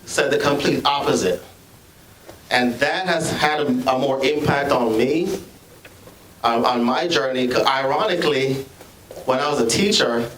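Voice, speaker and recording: A man's voice: mid-range voice, a bit bright. Jamaican man, school leader, earnest speech, picked up from afar in an echoey library, faint hiss. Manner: earnest, measured, with repeated restarts. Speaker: school administrator. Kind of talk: ceremony speech. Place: school library, large room. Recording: echoey room, from far off.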